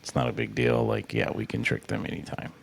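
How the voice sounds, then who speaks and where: A man's voice: on the low side, sing-song, fairly quick, gravelly, husky. A young adult man co-hosting a podcast in a laid-back, teasing casual chat. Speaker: podcast co-host.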